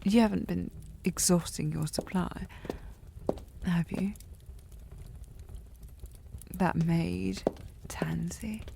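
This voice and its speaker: A woman acting a haughty, slightly tipsy young upper-class lady in a historical romance audio drama, with prim formal diction and a breathy voice that often drops to a whisper. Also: on the low side, steady tone, very slow, long pauses, noisy background, full character voice. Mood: hurt, coy. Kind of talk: historical romance audio roleplay, intimate one-sided dialogue.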